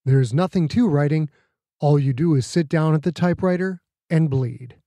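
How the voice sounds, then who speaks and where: Guy reading his author's note, really dark soft-edged tone, dry studio sound. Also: fairly high pitch, normal speed, dry recording. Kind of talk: scripted reflective author's note.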